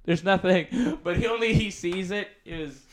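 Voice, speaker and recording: A man playing a vain famous male movie star in a deadpan comedy bit, rambling and giggling. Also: sits high, normal speed, voice pushed up, natural voice. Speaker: guest.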